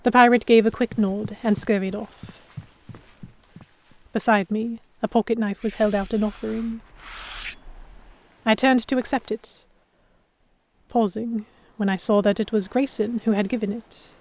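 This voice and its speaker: A woman narrating in first person as a composed captive in a historical pirate audio drama, with measured, dramatic storytelling. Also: fairly high pitch, steady tone, darkish tone, a little hiss or hum. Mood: measured, tense. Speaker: narrator and lead actor.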